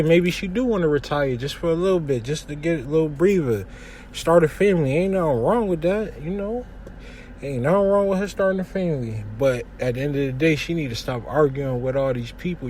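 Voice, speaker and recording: A young man from hosting a casual solo podcast from his kitchen, giving news commentary in a relaxed, slangy way with lots of shout-outs. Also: on the high side, a little hiss or hum. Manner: laid-back slangy talk with frequent shout-outs. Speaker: podcast host.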